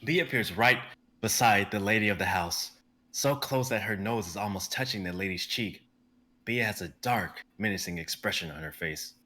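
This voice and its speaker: A young man narrating stage directions in a live script reading, brisk and vivid, with the odd stumble. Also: on the low side, pushed and bright. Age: young adult.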